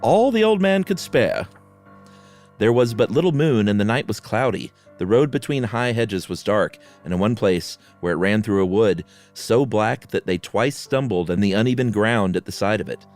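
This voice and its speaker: A man's voice: on the low side, normal speed, hardly any pauses, a little mellow, faint music behind. A man co-hosting a podcast, moving between casual banter and dramatic storytelling. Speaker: podcast co-host and story narrator.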